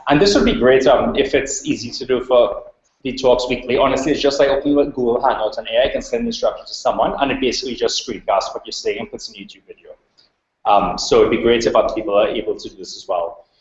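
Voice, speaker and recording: A man's voice: mid-range voice, quick pace. A man with a Trinidadian accent giving an academic talk, flowing along with many ums. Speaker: invited speaker, researcher. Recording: roomy, dull lo-fi recording.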